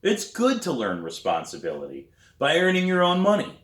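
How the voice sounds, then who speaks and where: A man's voice: a little high, moderate pace, pitched above the performer's own voice. A grouchy, skeptical dad acted by a man reading a storybook speaks firmly, with dry sarcasm and plenty of rise and fall. The room around the voice is echoey. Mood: calm, serious. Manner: calm but firm, sarcastic. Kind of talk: acted dialogue in a children's book reading. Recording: roomy echo.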